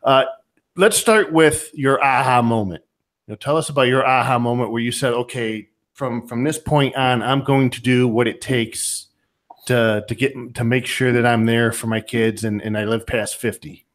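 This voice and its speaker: A man's voice: mid-range voice, fairly even intonation, normal speed. A man hosting a podcast interview, winding through long setups full of fillers before asking his question. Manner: rambling setups with many fillers. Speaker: podcast host. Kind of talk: conversational interviewing.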